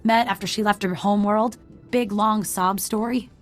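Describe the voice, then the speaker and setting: A woman's voice: medium pitch, steady tone, moderate pace, lightly acted. An actress voicing a scrappy, street-smart woman outlaw in a video game, wary and defiant, talking in clipped colloquial phrases. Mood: wary, confiding. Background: music.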